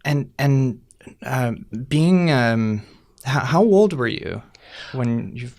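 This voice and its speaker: A man's voice: mid-range voice, expressive, takes it slowly, a little mellow, dry recording, clean studio sound. A man hosting an interview, asking questions in a hesitant way full of fillers and restarts. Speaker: interviewer, podcast host. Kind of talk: casual interview chat.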